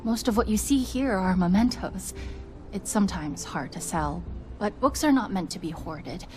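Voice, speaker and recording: A woman's voice: medium pitch, expressive, moderate pace, darkish tone, soft background music, natural voice. A woman playing a wistful, principled bookseller in a video game, firm and earnest in manner. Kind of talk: video game character dialogue.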